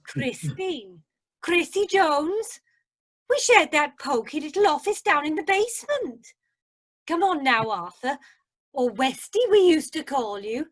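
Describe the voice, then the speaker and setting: A woman's voice: sits high, a bit bright, full character voice. A woman playing a chatty, nosy cruise passenger who loves gossip, in an amateur radio drama. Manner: gossipy, chirpy.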